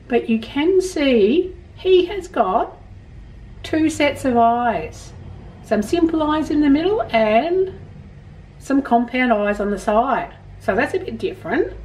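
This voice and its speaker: Storytime presenter: middle-aged Australian woman, unhurried and highly animated, expressive delivery for children, slightly distant room mic. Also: a little hiss or hum. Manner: expressive, emphatic storytelling for young listeners. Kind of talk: picture-book reading and explaining to children. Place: small indoor room at home with bookshelves.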